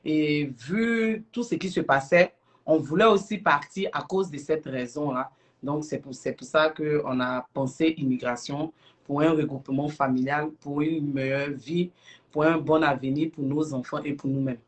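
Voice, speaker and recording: A middle-aged woman with an Ivorian accent, speaking earnestly and deliberately as a guest in a livestream interview. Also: deep voice. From Ivory Coast. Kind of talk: explanatory interview talk on a livestream.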